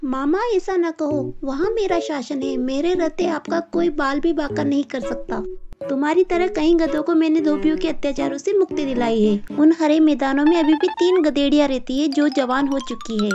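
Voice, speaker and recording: A woman voicing a sly male jackal in an animal fable, flattering and coaxing. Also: high-pitched, moderate pace, dull audio. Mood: coaxing, boastful. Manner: coaxing, wheedling. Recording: music behind the voice.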